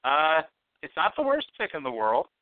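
Man, podcast host, dry teasing humor, animated voice, smooth tone, thin phone-like sound. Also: on the high side, moderate pace, dry recording. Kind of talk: casual phone-in chat.

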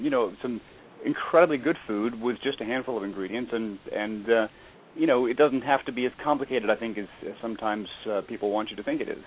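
A man's voice: medium pitch, slight background noise. Easygoing young man on a tinny phone line. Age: young adult. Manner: easygoing, joking, many fillers. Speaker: guest chef. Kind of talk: casual phone interview. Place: Atlanta.

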